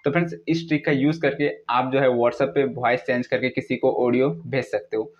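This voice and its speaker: A man's voice: medium pitch, steady tone, a little fast, a little hiss or hum. A young man narrates a casual how-to tutorial, mixing English words into step-by-step instructions. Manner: repetitive, instructional phrasing with English words mixed in. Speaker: tutorial video host. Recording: dull lo-fi recording.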